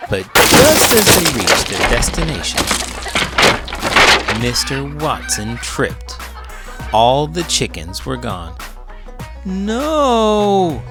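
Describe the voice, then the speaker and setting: A man reading a children's picture book aloud with great expression. His voice swoops dramatically up and down throughout, as music plays steadily in the background. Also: slow. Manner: expressive, with wide swings in pitch and volume. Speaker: children's book reader and teacher. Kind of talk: animated storybook read-aloud.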